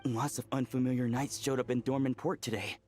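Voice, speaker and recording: A man's voice: medium pitch, steady tone, brisk, darkish tone, soft background music, lightly acted. An actor playing an anxious young male researcher in a video game, agitated and full of worried questions. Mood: anxious, urgent.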